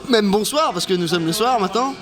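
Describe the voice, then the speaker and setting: A man's voice: high voice, expressive, brisk. A man interviewing a guest in a bar, playful and joking, stumbling over his words now and then. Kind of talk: casual interview chat. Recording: clear music behind the voice. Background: music.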